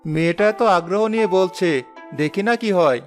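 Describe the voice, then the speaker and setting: Guy playing earnest poor farmer in a cartoon, pretty high voice, music going behind. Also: brisk, lightly acted. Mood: gentle, devout. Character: earnest, hopeful poor village farmer, male. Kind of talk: cartoon voice acting. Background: background music.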